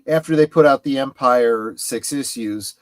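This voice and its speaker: Middle-aged male panelist, mid-range voice, halting casual delivery, dry close mic. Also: mid-range voice, dry recording. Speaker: panelist on a pop culture livestream. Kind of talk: casual chat about comics.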